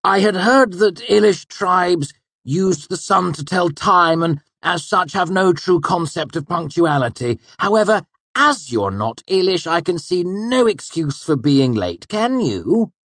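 A man's voice: high-pitched, lively intonation, unhurried, a bit bright, no room sound, pitched above the performer's own voice, heavily acted. Sneering schoolmaster of magic, cold and cutting, voiced by a man; raised, strained timbre. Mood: mocking. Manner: mocking, over-courteous. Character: haughty male magic teacher, cutting.

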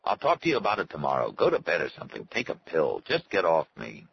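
A man's voice: low-pitched, huge pitch swings, fast talker, creaky, highs cut off, a little hiss or hum, heavily acted. A man playing a brash, blunt, colloquial boss and hearty host in an audiobook. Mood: exasperated.

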